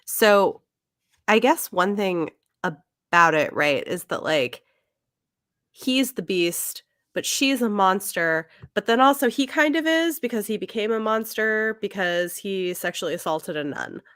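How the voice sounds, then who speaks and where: A woman co-hosting a podcast, chatting casually about books in an informal, halting way as she thinks aloud. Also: mid-range voice, animated, fairly bright tone, no room sound, studio quality. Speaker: podcast co-host. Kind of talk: casual book discussion chat.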